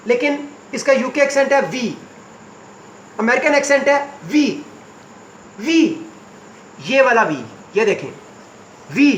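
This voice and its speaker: A man teaching spoken English to beginners, going word by word and repeating each meaning slowly. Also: very high-pitched, echoey room, a little hiss or hum, rough recording. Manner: slow, repetitive word-by-word explanation.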